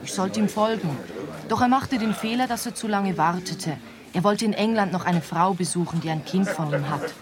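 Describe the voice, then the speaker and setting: A woman's voice: medium pitch, normal speed, lightly acted. An actress playing a cool, businesslike young woman on the run in a radio play. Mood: matter-of-fact. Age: young adult. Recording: busy bar crowd behind the voice.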